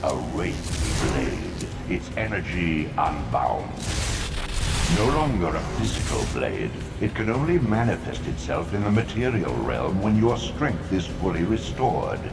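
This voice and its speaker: An actor playing an ancient, commanding, all-knowing otherworldly being in a video game, speaking in a portentous, stately, oracular manner. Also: male voice, sits low, big pitch swings, a little slow, creaky, heavily acted. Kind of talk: dramatic video game voice acting. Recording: echoing, loud music behind.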